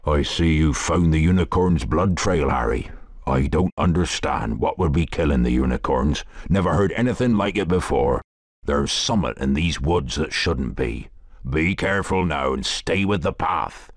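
An actor playing a gruff but kindly giant groundskeeper in a video game, with a gravelly, husky voice. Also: male voice, rumbling low, expressive, moderate pace, no echo, studio quality. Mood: cautious, protective. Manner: folksy, rustic, earnest. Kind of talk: video game character acting.

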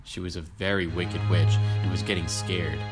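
A man's voice: low voice, little pitch movement, normal speed. A man narrating a family audio drama in a scripted storybook style. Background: prominent music.

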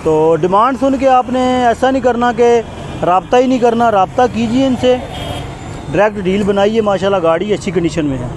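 High-voiced man doing car walkaround. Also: lively intonation. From Pakistan. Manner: brisk, repetitive salesman patter. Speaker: used-car video host. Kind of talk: walkaround car sales commentary. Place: outdoor roadside car bazaar. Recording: noisy street outdoors.

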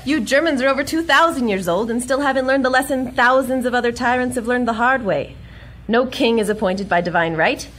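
A woman's voice: on the high side, brisk, fairly bright tone. A woman playing a plucky, earnest young American heroine in a pulp adventure audio drama, spirited and wry. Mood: defiant.

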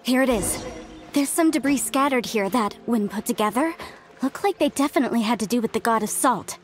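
A woman's voice: a little high, animated, fairly quick, soft background music, lightly acted. An actress playing an earnest young woman archaeologist in a video game, explaining her research. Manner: earnest, explanatory, slightly exasperated. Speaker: game character.

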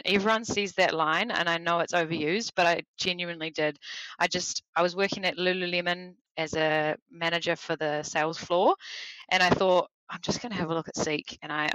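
A young woman with a New Zealand accent, a recruitment consultant chatting upbeat and fast on a video call through a headset mic. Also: lowish voice, pushed and bright, clear, resonant tone, dry recording, low-quality recording. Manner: chatty and upbeat, quick asides and restarts. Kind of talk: casual interview chat. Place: small home office, indoors.

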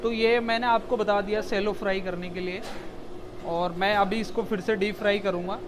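A man's voice: high-pitched, steady tone, moderate pace, background noise. A man in his thirties, a chef giving a step-by-step cooking demonstration in a restaurant kitchen on a lapel mic, mixing English words into his talk. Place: commercial kitchen.

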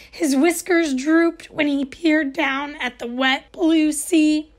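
A woman reads a children's picture book aloud at story time. Her voice sits high, and she takes an unhurried pace. She stretches key words gently for young listeners. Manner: gentle, expressive storybook reading with slow emphasis. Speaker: storytime reader.

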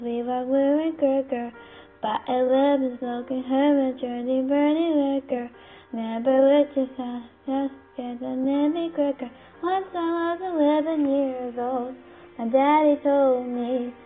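Woman, cover singer, slow soft delivery, phone-like tinny recording, faint backing track. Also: high voice. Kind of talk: singing a pop song cover.

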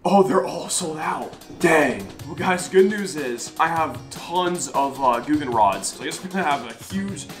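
A man's voice: fairly high pitch, expressive, moderate pace, few pauses, roomy sound, soft background music. A young man casually vlogging, upbeat and chatty, laughing often as he talks through a shopping spree. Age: young adult. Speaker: fishing vlogger. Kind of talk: casual excited online shopping vlog. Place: living room, indoors.

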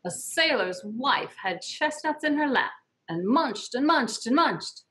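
A woman's voice: high-pitched, expressive, unhurried, a bit bright, a little hiss or hum. A middle-aged woman playing a vengeful witch, chanting verse in an amateur Shakespeare read-through on a video call. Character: menacing, vengeful female witch. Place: room at home, indoors.

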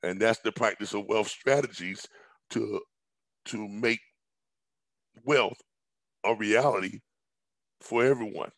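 Hoarse middle-aged man, low dry voice. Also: slow, long pauses, a bit bright, dry recording, poor audio. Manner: rambling, repeats words, frequent ums and coughs. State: hoarse, recovering from illness. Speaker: business presenter. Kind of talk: pitch presentation on a video call. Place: indoors at home, large room with staircase. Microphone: headset on a video call.

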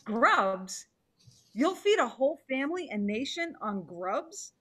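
An imperious tigress from a stage play, acted by a woman. The voice is fairly high and very animated, emphatic and impatient, as befits a proud hunter. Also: takes it slowly, exaggerated voice. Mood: indignant. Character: proud, impatient female tiger, a great hunter. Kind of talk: theatrical play reading.

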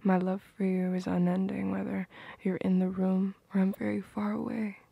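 A woman playing a tender, protective, teasing girlfriend in an ASMR roleplay, murmuring intimately in soft whispers close to the microphone. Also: medium pitch, flat delivery, moderate pace, no echo, narrow, tinny audio. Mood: tender, reassuring. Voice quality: breathy, whispery.

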